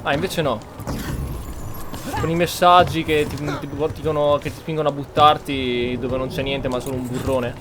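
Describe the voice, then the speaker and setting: A man's voice: on the high side, flat delivery, a little fast, background noise. A man commenting on a video game in a casual, informal and blunt way. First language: Italian. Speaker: gamer, streamer. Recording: game music behind the voice.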